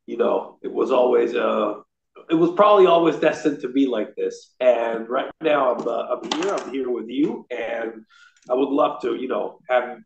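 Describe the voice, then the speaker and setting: A man's voice: medium pitch, moderate pace, vocal fry, a little hiss or hum. A man in his thirties from Rome with a light Italian accent, chatting as a real estate guest on a video call, often restarting his sentences. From Rome, Italy. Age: 30s. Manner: chatty, frequent restarts and fillers, gestures with hands. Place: indoor room at a desk. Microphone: laptop camera on video call. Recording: roomy, dull video call audio.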